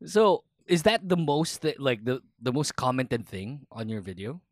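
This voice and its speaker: A young Filipino man hosts a relaxed podcast conversation with a guest. His pitch swings all over as he jokes and laughs, slipping between English and Tagalog. The recording is close and dry. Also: normal speed, no room sound. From Philippines. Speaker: podcast host. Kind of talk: casual interview chat.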